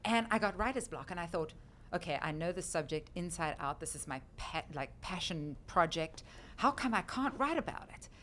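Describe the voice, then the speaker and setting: South african lady in her 50s, lowish voice, animated storytelling, a bit of hiss. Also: normal speed. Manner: chatty, animated storyteller, laughs easily. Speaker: guest author. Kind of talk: talk radio interview.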